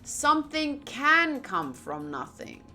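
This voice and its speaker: A woman streamer reading game text aloud and reacting out loud with exclamations as she comments. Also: high voice, very animated, slow, music in the background. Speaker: visual novel playthrough streamer.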